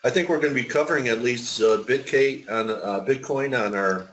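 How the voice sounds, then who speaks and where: A man's voice: medium pitch, normal speed, a bit bright, a little hiss or hum. A man hosting a casual market webinar, chatting in a complimentary, easygoing conversational way. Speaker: webinar host. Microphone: webinar call. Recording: muffled, band-limited webinar audio.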